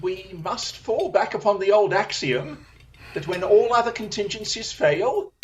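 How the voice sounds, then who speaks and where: A keen, assured gentleman detective as acted out in an audio drama, speaking in formal, precise phrases. He takes command with theatrical confidence, at a medium pitch. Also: animated, measured pace, a little hiss or hum, close to an everyday voice. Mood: confident, explanatory.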